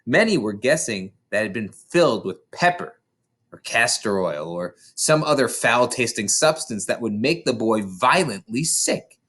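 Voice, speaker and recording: An expressive narrator reading a children's story aloud. The delivery is theatrical and dramatic, with lively intonation that rises and falls widely. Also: medium pitch, moderate pace. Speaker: storybook reader. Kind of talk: expressive children's book narration with playful asides.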